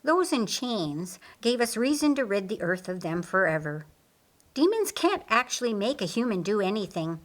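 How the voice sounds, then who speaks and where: A woman's voice: medium pitch, big pitch swings, moderate pace, lightly acted. A woman voicing a dutiful, skeptical male angel soldier in an audiobook, careful and clipped. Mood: skeptical, certain. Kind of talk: audiobook character acting.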